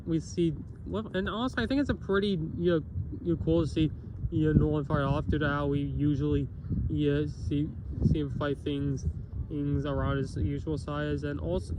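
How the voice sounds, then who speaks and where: A fairly high-voiced man who sounds nasal and has a cough. He rambles through a casual review, restarting and correcting himself constantly. Street noise and passing vehicles fill the background.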